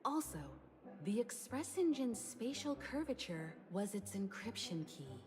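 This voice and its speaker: A woman voicing a composed, intrigued adult traveller in a video game, speaking in a measured, knowing way. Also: on the high side, sing-song, a little mellow, faint music behind. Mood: intrigued, explanatory. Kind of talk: video game story dialogue acting.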